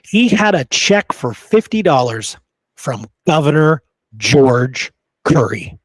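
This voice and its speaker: A man's voice: a little high, very animated, slow pace, no echo, low-quality recording. Emphatic male podcast host, dry close sound. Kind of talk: casual history discussion.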